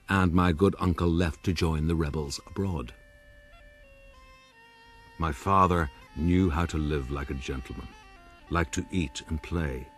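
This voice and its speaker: An actor playing a pompous, wry Irish gentleman rogue narrating his life in a radio drama. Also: extremely low pitch.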